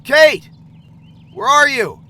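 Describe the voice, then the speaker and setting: A man's voice: very high voice, animated, takes it slowly, pushed and bright, full character voice. Actor as a panicked, protective man, urgent. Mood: panicked, pleading. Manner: urgent, emotional delivery. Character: panicked, protective male friend searching and pleading. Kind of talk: audio drama acting.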